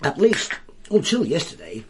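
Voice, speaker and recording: An actor playing a wry, bookish, world-weary detective inspector in a radio drama, with a dry, pompous turn of phrase. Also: male voice, on the high side, huge pitch swings, moderate pace, a little mellow, slight background noise. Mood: wry, musing. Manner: dry, pompous, quote-laden turns of phrase.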